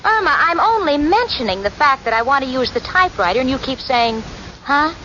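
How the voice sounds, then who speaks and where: Sensible young female roommate, high and lively, drily articulate, noisy vintage radio comedy. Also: a little fast. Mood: exasperated. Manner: dry, articulate, patiently exasperated. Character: sensible, wry young woman roommate and storyteller. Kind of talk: 1940s radio comedy acting and narration. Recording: noisy, muffled 1940s radio recording.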